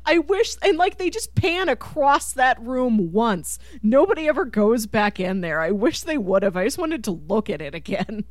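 A woman chatting casually about film as a podcast co-host, with an animated, enthusiastic and giggly manner. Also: fairly high pitch, moderate pace, hardly any pauses, dry recording. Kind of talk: casual chat.

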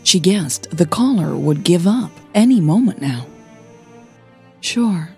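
A woman narrating scripted fiction as a podcast host, in a measured, deliberate way. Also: fairly low pitch, lively intonation, gravelly, mellow, soft background music.

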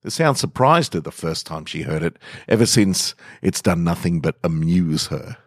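A man narrating short fiction in a dramatic, measured and deliberate way with weighty pauses. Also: deep voice, expressive, no room sound, studio quality. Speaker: storyteller reading short fiction on a podcast.

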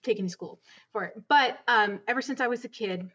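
A woman answering as a guest in a casual interview, piecing her thoughts together in short, halting phrases. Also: on the high side, sharp tone, slightly rough, highs cut off. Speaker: interview guest, audio drama director.

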